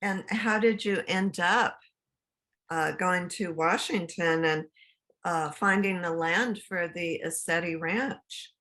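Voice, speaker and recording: A woman hosts the interview, asking her questions slowly and letting them stretch out. Her voice is fairly low in pitch but bright and forward in tone. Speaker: interviewer host.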